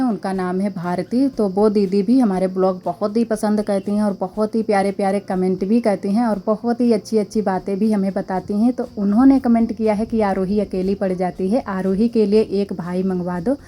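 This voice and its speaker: Hoarse-throated woman, mellow chatty vlog talk. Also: medium pitch. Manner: chatty, homely, thanks viewers. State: sore throat, slightly hoarse. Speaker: vlogger. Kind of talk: casual vlog narration. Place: village home, household chores. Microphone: clip-on mic.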